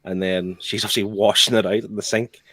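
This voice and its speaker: A man's voice: on the low side, little pitch movement, fairly quick, a bit bright, dry recording. A man co-hosting a podcast, talking casually in a book club discussion. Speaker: podcast co-host.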